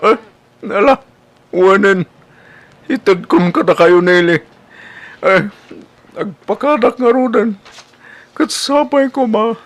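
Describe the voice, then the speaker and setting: A man plays a tender, rejected young suitor in a radio-style comedy drama. His voice sits fairly high and lingers slowly over the words, with an Ilocano accent. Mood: resigned, wistful. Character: lovelorn, tearful rejected suitor, sweetly self-sacrificing young man.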